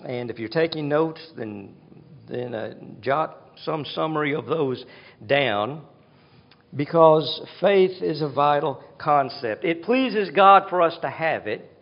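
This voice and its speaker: A man preaching a sermon, teaching slowly and deliberately, and repeating key words for emphasis. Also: fairly high pitch, animated, gravelly. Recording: dull hall reverb.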